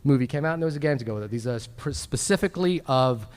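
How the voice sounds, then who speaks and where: A man's voice: mid-range voice, animated, very fast, darkish tone, smooth, big-room sound. A man co-hosting a film podcast as a live panel at a convention, addressing the audience with frequent restarts.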